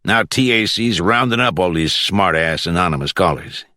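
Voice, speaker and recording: A man's voice: deep voice, lively intonation, moderate pace, bright, forceful tone, no echo, studio quality. A man voicing a cocky, irritable judge in an audiobook, with a curt, folksy, impatient way of talking. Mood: irritated, boastful. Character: brusque, blustering male judge, cocky and irritable.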